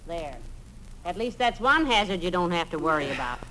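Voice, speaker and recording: An actress playing a patient, affectionate, wryly practical wife in an old radio comedy, with a sweetly coaxing delivery. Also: female voice, on the low side, very animated, normal speed. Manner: sweetly coaxing with dry humour. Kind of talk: 1940s-50s American radio comedy acting. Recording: very noisy vintage radio recording.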